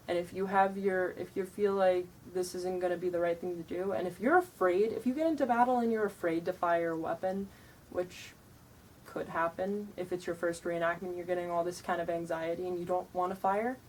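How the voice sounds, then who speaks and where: A young woman with a deeper voice giving frank, chatty advice to camera, sounding tired, with many ums and likes. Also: recorded from a distance, a little hiss or hum, poor audio. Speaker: vlogger giving reenactor tips. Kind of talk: casual advice talk to camera.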